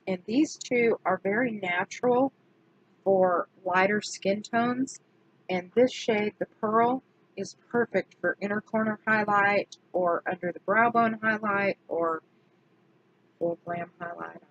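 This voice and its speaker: A woman, slightly hoarse from allergies, chatting casually through a makeup product review and correcting herself as she goes. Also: mid-range voice, dry recording, muffled recording. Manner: chatty, self-correcting, slow and deliberate. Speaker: beauty vlogger.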